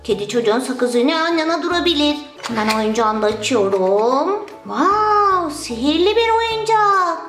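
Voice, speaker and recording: Super high sing-song lady playing with toys for kids, music behind her. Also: sing-song, measured pace, hardly any pauses, echoey room, a crowd in the background. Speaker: children's toy show presenter. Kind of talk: playful acted toy play for children.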